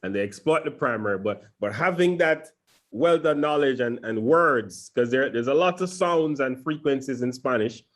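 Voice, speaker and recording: A man's voice: mid-range voice, expressive, fairly bright tone. Upbeat male interview host. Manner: enthusiastic, laughs easily, quick bursts of talk. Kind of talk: casual interview chat.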